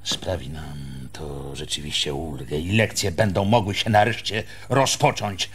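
An actor playing a stern, threatening village schoolteacher in a radio drama, with a pompous, authoritarian manner. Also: male voice, low voice, steady tone, unhurried, fairly bright tone, husky, exaggerated voice. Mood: coldly authoritarian. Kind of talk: Polish radio drama acting.

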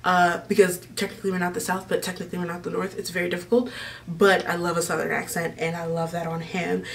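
Young adult American woman, casual vlog host, lower register, effusive rambling delivery, slightly distant mic. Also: little pitch movement, fast talker, vocal fry. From Maryland, United States. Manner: gushing, rambling. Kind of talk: casual chat to camera. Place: small decorated room indoors.

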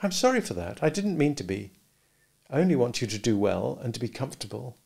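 A man voicing a gentle, sincere young village woman who speaks quietly and mildly, with a sorrowful note. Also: medium pitch, big pitch swings, brisk, gravelly.

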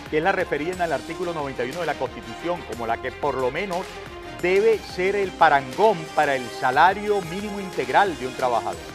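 A middle-aged man with a Venezuelan accent hosting a news show, giving indignant, sarcastic commentary and emphatic rhetorical questions as he reads out reports. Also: a little high. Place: TV studio.

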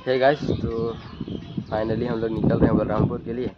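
Young man vlogging in loud wind. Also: medium pitch, little pitch movement, moderate pace. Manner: informal, addresses viewers as guys. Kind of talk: casual motovlog chat to viewers. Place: outdoors by a roadside and on a motorbike. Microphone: phone at arm's length. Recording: muffled, loud wind and vehicle noise.

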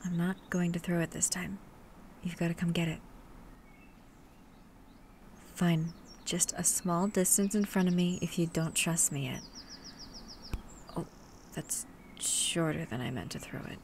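A woman voicing a gentle, protective monster hunter in a soft-spoken ASMR roleplay, hesitant and coaxing, at times breathy and whispering. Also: on the low side, moderate pace, close to an everyday voice. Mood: tender, coaxing. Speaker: voice actor. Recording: steady ambient noise with birdsong.

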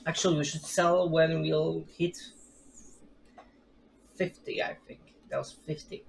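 Chatty slow-talking man streaming a game. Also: fairly high pitch, flat delivery, vocal fry, a little hiss or hum, poor audio. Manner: rambling, chatty, self-deprecating, laughs often. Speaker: gaming streamer. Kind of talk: casual let's play commentary. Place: home room with gaming chair.

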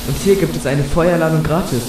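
A man's voice: fairly high pitch, fairly quick, over loud music, close to an everyday voice. An actor playing an unhinged, insolent young male arsonist who taunts in a German audio drama. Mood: taunting, menacing. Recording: echoey, distant, noisy hissing ambience.

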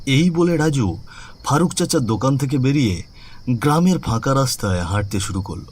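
A man's voice: mid-range voice, lively intonation, normal speed, a little mellow, slightly rough. A man narrating a scripted horror cartoon story in a dramatic storytelling style.

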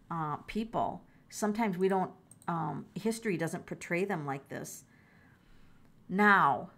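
A middle-aged woman doing a casual tarot reading, musing aloud, exclaiming and repeating her phrases. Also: fairly low pitch. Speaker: tarot reader.

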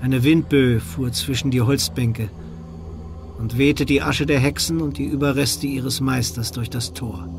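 An actor playing the solemn narrator of a children's mystery audio drama. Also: male voice, mid-range voice, fairly even intonation, a little slow, gravelly, noisy background. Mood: suspenseful.

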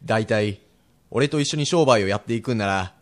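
A man playing a frank, steely Edo-era merchant husband with rough old townsman speech in a radio drama. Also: fairly low pitch, moderate pace, natural voice. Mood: persuading. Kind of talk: period radio drama acting.

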